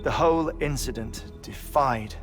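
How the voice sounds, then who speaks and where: An actor playing a gentle, obsessive middle-aged scientist who carries grief, articulate and professorial, in a video game cutscene. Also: male voice, mid-range voice, natural voice. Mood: earnest, explanatory. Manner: measured, articulate, professorial, with hesitant pauses. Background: music.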